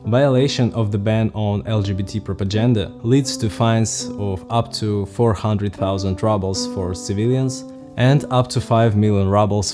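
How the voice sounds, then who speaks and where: A man with a Russian accent, from Russia, narrating a scripted explainer in a measured, dry way. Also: lowish voice, darkish tone. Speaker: vlogger.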